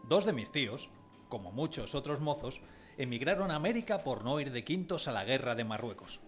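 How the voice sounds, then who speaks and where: Man as a wistful narrator over soft music. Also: mid-range voice, sing-song, fairly quick, lightly acted. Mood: wistful, storytelling. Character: wistful male narrator recalling his village boyhood. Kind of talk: scripted radio drama narration. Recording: music under the voice.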